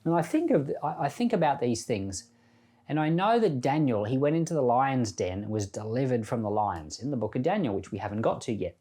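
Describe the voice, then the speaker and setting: Guy pastor doing a relaxed-format bible talk, mid pitched voice, chatty and reflective like telling stories. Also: a little fast. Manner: conversational, reflective, storytelling. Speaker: pastor giving Bible commentary and prayer. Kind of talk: informal sermon, Bible teaching.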